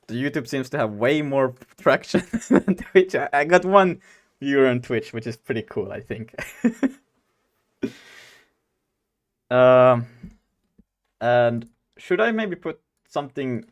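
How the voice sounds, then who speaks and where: Young guy rambling to his stream chat, laughs a lot, mid-pitched voice. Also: lively intonation, a little slow. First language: Swedish. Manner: giggly, rambling, talks to chat. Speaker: game dev streamer. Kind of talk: casual live-stream chat.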